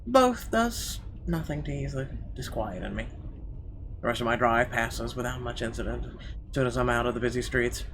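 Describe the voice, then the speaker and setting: A man with a medium-pitched voice narrates a visual novel for viewers. The delivery is expressive and deliberate, like dramatic storytelling, with an occasional stumble. Noticeable game ambience and noise sit behind the voice. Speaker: gaming video host reading aloud. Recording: clear background noise behind voice.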